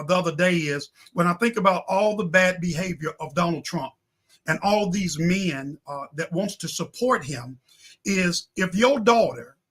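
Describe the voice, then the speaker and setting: A man with a notably high, forceful voice argues his points as a guest on a political talk show. His delivery is emphatic and rhetorical, and he repeats phrases to drive them home. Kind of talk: impassioned political discussion.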